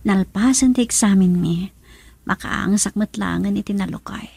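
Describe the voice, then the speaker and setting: A woman's voice: medium pitch, normal speed, creaky, darkish tone, natural voice. An actress with a Filipino accent playing a weary, plaintive young female student in a radio drama. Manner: plaintive, tired. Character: weary female student talking with her mother.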